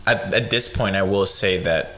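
A young man hosting a podcast, a native Spanish speaker with a light Spanish accent, chatting in a relaxed, rambling way with lots of fillers. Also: low-pitched, moderate pace, a little hiss or hum. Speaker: podcast host. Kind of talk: casual chat.

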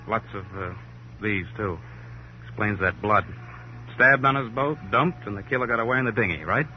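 An actor playing an impatient, needling police colleague in a 1950s radio crime drama, blunt and emphatic. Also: male voice, fairly low pitch, expressive, normal speed, close to an everyday voice. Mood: urgent. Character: brisk, impatient male police colleague reporting a killing. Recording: muffled old radio recording with hiss.

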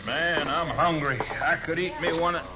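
An actor playing a lazy, hungry young gang member in a 1950s radio drama, talking in an offhand drawl. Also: male voice, on the high side, fairly even intonation, normal speed, natural voice. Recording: noisy lo-fi 1950s radio broadcast.

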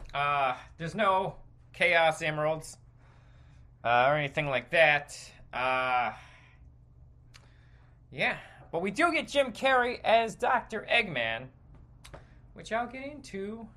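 A man's voice: a little high, sharp tone. A man hosting a podcast, delivering an exasperated, sarcastic, stream-of-consciousness rant with casual swearing. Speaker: podcast host.

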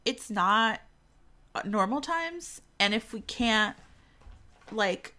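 A woman's voice: fairly high pitch, animated, slow pace, pushed and bright. A woman co-hosting a podcast in casual chat, congested from illness, talking with lots of fillers. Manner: chatty, many fillers. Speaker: podcast co-host, author.

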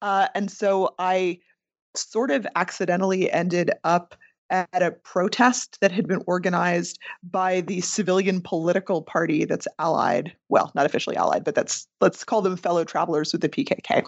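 A woman's voice: lowish voice, moderate pace, creaky, no echo, muffled recording. A woman political scientist answering interview questions in a candid, conversational way. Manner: candid, conversational, self-deprecating.

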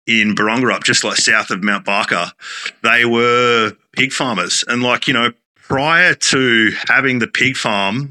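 A man's voice: mid-range voice, pushed and bright, low-quality recording. A man, a chef talking casually as a guest, rambling with many fillers and restarts. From Australia. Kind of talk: casual interview talk.

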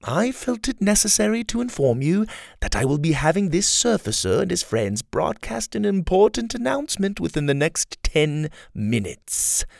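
A man voicing a smug scheming male rebel leader who taunts in a high, theatrical tone. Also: very animated, normal speed, vocal fry, darkish tone, no echo, studio quality, exaggerated voice. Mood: gloating. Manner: gloating, theatrical, high mocking tone.

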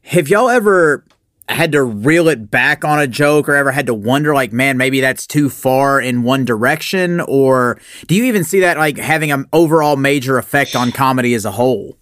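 A man's voice: mid-range voice, moderate pace, fairly bright tone, no echo, studio quality. A man hosting a casual podcast interview, agreeable and often affirming his guest.

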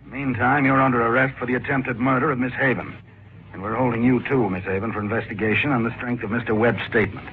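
An actor playing a brisk, skeptical male police lieutenant, matter-of-fact and authoritative, in 1940s radio drama style. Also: mid-range voice, a little hiss or hum, natural voice. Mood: stern. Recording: tinny old radio recording.

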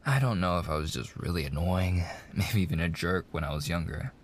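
Man acting a low-voiced young mobster fiancé, sweet and coaxing. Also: a little fast, slight background noise, natural voice. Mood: flustered, reassuring. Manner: coaxing, hesitant, slangy gangster talk. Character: young mafia boss's son, eager, smooth-talking, sweet fiancé. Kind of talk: boyfriend ASMR roleplay, intimate monologue.

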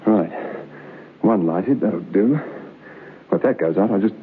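An actor playing an uneasy man trapped alone in a dark haunted room, muttering haltingly with nervy bravado in old-time radio horror style. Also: lowish voice, expressive, husky, heavily acted. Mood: wistful, nervy. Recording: dull lo-fi old radio recording, faint hiss.

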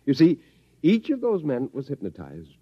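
Understated, animated professor husband. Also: male voice, a little high, moderate pace, slightly rough, full character voice. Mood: pleased, explaining. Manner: hesitant, understated, explanatory. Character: clever, modest husband, an academic hypnotist. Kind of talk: 1950s radio drama acting. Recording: dull old radio broadcast.

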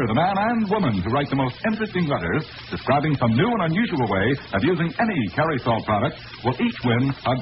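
A man announcing in vintage radio sponsor style, emphatic, promotional and clearly enunciated, on an old recording. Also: a little high. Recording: noisy vintage radio recording, muffled, hissy.

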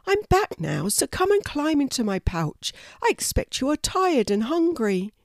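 A woman's voice: high voice, very animated, a little slow, no echo, clean studio sound, pitched above the performer's own voice, exaggerated voice. A woman voicing a helpful, reassuring mother wallaby with an earnest, motherly manner in a children's storybook. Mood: soothing, apologetic.

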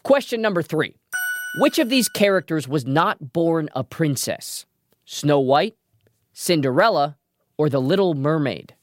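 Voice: medium pitch, animated, a little slow, no echo. A trivia show host presenting a scripted quiz in a playful way, with wry asides. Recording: music behind the voice.

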